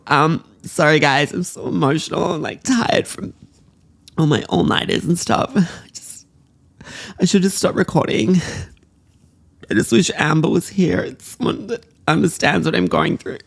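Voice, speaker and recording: A woman's voice: sits low, animated, moderate pace, creaky, heavily acted. A woman playing a melodramatic young Australian fashion student, gushing and slangy as if confiding in podcast listeners. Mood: tearful, overwhelmed. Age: young adult. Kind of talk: confessional solo podcast monologue.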